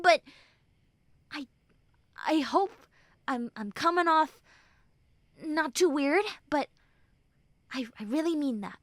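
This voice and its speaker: A woman playing a normally mean young tsundere who turns tender and caring, speaking haltingly and earnestly to the listener in an intimate roleplay. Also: high-pitched, no echo, studio quality, full character voice. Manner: halting, bashful, earnest, soft and breathy when consoling. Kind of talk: intimate roleplay audio drama, spoken to the listener.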